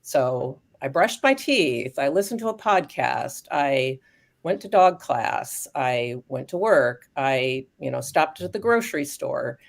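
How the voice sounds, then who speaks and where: A woman speaking as a guest expert on aging dogs, explaining research in a thoughtful, casual way with frequent pauses and restarts. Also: very deep voice, expressive, creaky. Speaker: guest expert on canine cognitive decline.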